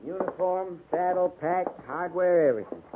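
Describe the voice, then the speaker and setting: A man's voice: sits high, normal speed, close to an everyday voice. A man playing a plainspoken, folksy frontier cavalry sergeant with a rustic American accent in a 1950s radio western. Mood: matter-of-fact. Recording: tinny, noisy 1950s radio recording.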